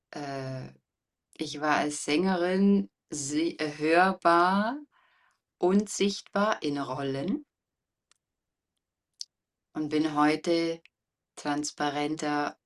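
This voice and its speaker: A woman with a warm voice pitched well below most women's. She explains things reflectively and very slowly, with deliberate pauses, as a coach being interviewed. The recording places her a little away from the microphone. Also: distant mic. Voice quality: deep, warm. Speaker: interview guest, voice coach and former singer.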